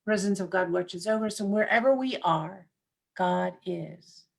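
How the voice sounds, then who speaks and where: A woman minister hosting an online church service over a video call, gently thanking her guest and leading the congregation in prayer. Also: medium pitch, moderate pace, gravelly.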